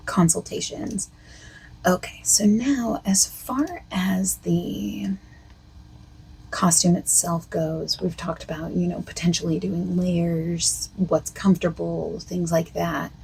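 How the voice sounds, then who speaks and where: Softspoken woman for ASMR consultant roleplay, slow and mellow, hesitant delivery, faint hiss behind. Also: creaky, low-quality recording. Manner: soft-spoken, drifting between whisper and low voice; hesitant, filler-heavy phrasing. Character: female costume consultant asking the listener questions.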